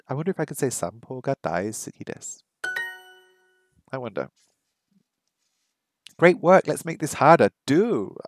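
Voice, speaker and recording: A man's voice: mid-range voice, very animated, no room sound, faint music behind. Chatty man on a headset mic. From English first language, lives in Hong Kong. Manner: chatty, repeats Japanese phrases slowly to practise. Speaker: streamer learning Japanese. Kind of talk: casual live-stream chat. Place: small home room by a window.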